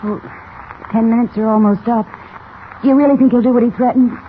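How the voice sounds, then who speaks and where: A woman's voice: on the high side, steady tone, close to an everyday voice. An actress playing an anxious young woman reporter, worried and concerned, in 1940s radio style. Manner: worried, quick. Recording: very noisy, tinny old radio serial recording.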